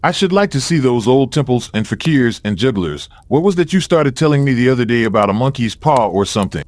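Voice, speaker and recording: An excitable old father played by a man in an audio drama, grumbling and blustering. His pitch swings freely, and the sound is close and dry. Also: a little fast, dry recording, natural voice. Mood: curious. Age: older.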